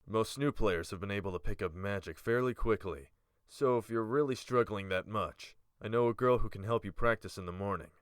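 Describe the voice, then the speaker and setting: A man playing a calm, authoritative guild-leader mage in a fantasy audiobook, speaking in a measured, smooth voice. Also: on the low side, dry recording, studio quality, natural voice. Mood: welcoming, instructive.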